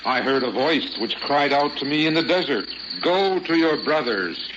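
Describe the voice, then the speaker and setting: A man's voice: fairly high pitch, animated, normal speed, highs cut off, close to an everyday voice. Man as old desert prophet, noisy radio drama. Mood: fervent, solemn. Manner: biblical, preacherly, solemn phrasing. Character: wild-bearded old religious zealot, gentle but fervent prophet.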